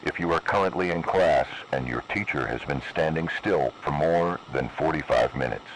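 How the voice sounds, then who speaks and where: A man playing a grave, cryptic emergency announcer delivering deliberate analog horror warnings in a noisy radio-style broadcast. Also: extremely low pitch, fairly bright tone. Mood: stern, foreboding.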